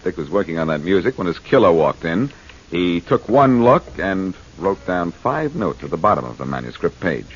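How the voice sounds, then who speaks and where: An actor playing a confident, wisecracking amateur detective in a 1940s radio drama, speaking in a glib, theatrical way. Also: male voice, low voice, sing-song, normal speed, husky, heavily acted. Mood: dramatic. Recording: muffled, noisy 1940s radio recording.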